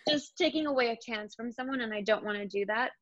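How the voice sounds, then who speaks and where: Young actress just chatting, lots of likes, kinda muffled lo-fi sound. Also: female voice, a little high, fast talker, a bit bright, no echo. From India. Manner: chatty, fillers like "like". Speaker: actor guest. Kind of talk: casual chat. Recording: slightly dull, lo-fi sound.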